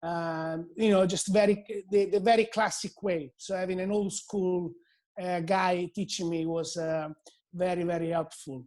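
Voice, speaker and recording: A man's voice: high-pitched, steady tone, a little slow, a little mellow. A middle-aged Italian man with an Italian accent, a hairdresser talking as a guest in a casual interview, hesitant with many fillers and restarts. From Italy.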